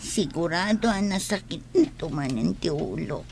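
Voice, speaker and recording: An actress with an Ilocano accent playing an anxious, reluctant young student in a radio drama. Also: female voice, fairly low pitch, normal speed, vocal fry, background noise.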